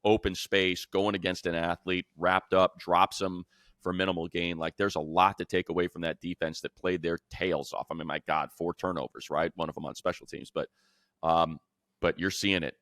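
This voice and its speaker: Deep-voiced American man talking sports. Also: flat delivery, normal speed, a bit bright, no room sound, clean studio sound. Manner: emphatic, colloquial, acts out imagined dialogue. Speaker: sports podcast co-host and play-by-play announcer. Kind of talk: unscripted sports analysis.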